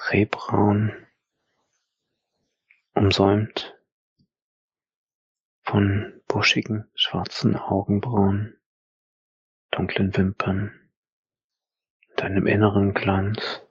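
A man narrating an audio drama as a brooding veteran, in measured, halting phrases that trail into breath. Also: fairly low pitch, completely flat delivery, slightly rough, no echo, highs cut off, exaggerated voice. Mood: somber, brooding. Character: brooding male veteran narrating his dark memories.

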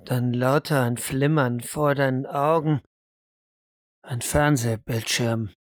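A woman as game master, narrating an audio role-play atmospherically in measured, short phrases. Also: a bass voice, no room sound, studio quality.

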